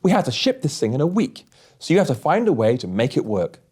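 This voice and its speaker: A man's voice: mid-range voice, big pitch swings, quick pace, a little mellow, husky. A man voicing a wry female engineering director in a storybook, firm and matter-of-fact.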